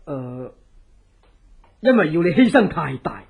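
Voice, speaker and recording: An actor with a Hong Kong Cantonese accent plays an earnest, loyal, scheming advisor to a fugitive in a theatrical horror radio drama. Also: male voice, on the high side, sing-song, gravelly, full character voice. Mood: calculating, instructive. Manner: deliberate, theatrical, persuasive. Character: loyal scheming male resistance ally, earnest, deliberate advisor.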